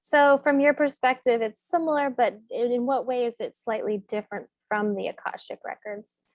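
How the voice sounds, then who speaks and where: A woman with a fairly high voice hosts an interview over a video call. She asks questions in an upbeat, encouraging way. The sound is dry. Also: moderate pace, no echo. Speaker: host.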